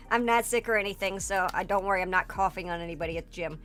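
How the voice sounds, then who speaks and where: Hoarse, rambling woman streamer. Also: mid-range voice, quick pace, a bit bright. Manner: rambling, filler-heavy and profane, breaks into laughter. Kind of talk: casual livestream chat. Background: faint background music.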